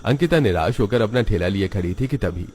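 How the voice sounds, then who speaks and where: A man's voice: fairly low pitch, expressive, brisk, a little mellow, slight background noise. A man narrating a children's cartoon story from a script.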